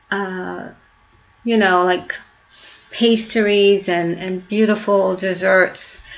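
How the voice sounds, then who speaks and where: A woman's voice: medium pitch, muffled recording. A woman in her fifties, a health coach, talking casually to her viewers with lots of fillers and restarts. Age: 50s. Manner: warm, chatty, many fillers and restarts. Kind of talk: casual talking-to-camera vlog.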